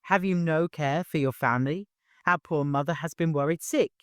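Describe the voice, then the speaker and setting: A man's voice: on the high side, moderate pace, no echo, clean studio sound, voice pushed up, natural voice. Man acting a menacing, domineering brother. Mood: furious. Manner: swings from smooth, stern menace to screaming rage.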